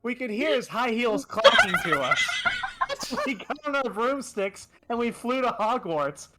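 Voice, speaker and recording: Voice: medium pitch, sing-song, unhurried, heavy background noise. A theatrical, amused reader giving a comedic reading of fan fiction, often breaking into laughter and dropping in asides.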